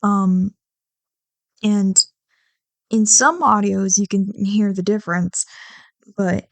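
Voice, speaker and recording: A woman's voice: mid-range voice, flat delivery, takes it slowly, no echo. A woman chatting casually to her listeners in a rambling, self-deprecating way, swearing freely, close to the microphone. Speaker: ASMR roleplay audio creator.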